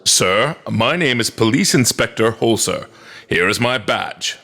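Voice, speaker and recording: Man as a cold, gravelly police inspector. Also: medium pitch, lively intonation, unhurried, pushed and bright, heavily acted. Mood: officious. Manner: officious, formal. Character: male police inspector, cold, menacing, officious father.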